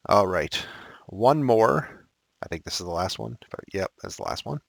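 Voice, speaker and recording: A man teaching a recorded math lesson, thinking aloud and correcting himself as he works problems, his voice slightly raspy. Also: fairly low pitch, very animated, normal speed, no echo.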